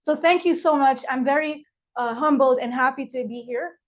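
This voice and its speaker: A woman's voice: high-pitched, normal speed. A woman from Morocco, an herbalist, giving a heartfelt live online workshop with frequent fillers from her apothecary over a video call. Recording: dull lo-fi video call audio.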